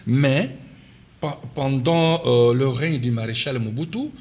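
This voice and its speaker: A man's voice: mid-range voice, takes it slowly, darkish tone. A man with a Congolese accent speaking as a political commentator in an interview, insistent and repeating his key points for force. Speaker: political commentator and party official, interview guest.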